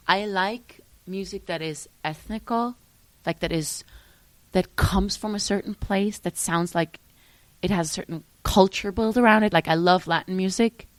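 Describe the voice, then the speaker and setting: A young woman from Switzerland chats about her music in a relaxed interview. Her voice sits on the low side and sounds close and dry, with no room around it. She talks in an animated way, breaking off and restarting as she thinks. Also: no room sound. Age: young adult. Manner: animated, trails off and restarts often. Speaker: musician guest. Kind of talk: casual interview chat.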